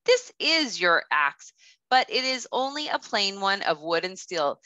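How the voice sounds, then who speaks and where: A woman voicing a kindly, gentle female water fairy in a children's storybook. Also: medium pitch, sing-song, unhurried, no room sound. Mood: gentle, approving. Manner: kind, gently questioning. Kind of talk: children's storybook read-aloud.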